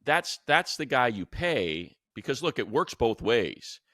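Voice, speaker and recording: A man's voice: medium pitch, sing-song, unhurried, sharp tone, no room sound, clean studio sound. A man co-hosting a sports show, giving unscripted analysis and repeating phrases for emphasis. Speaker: sports show co-host and commentator.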